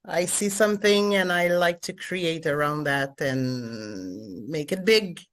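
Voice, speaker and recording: A woman's voice: low voice, expressive, slow. A woman with a French Canadian accent, a native French speaker, introducing herself on a video call while searching for words in English. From Montreal, Canada. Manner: hesitant, searching for English words, many fillers. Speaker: remodeling franchise owner, meeting participant. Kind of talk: casual self-introduction on a group call.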